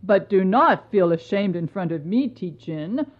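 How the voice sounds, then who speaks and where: A woman playing a stern yet tender emperor in a folktale, with a measured, grave and formal manner. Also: fairly low pitch, expressive. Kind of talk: dramatised folktale acting. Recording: dull lo-fi recording.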